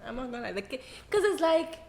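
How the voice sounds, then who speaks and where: A woman from Saint Vincent with a Vincentian accent, a guest in a casual chat, lively and teasing. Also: high-pitched, quick pace, creaky. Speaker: guest musician.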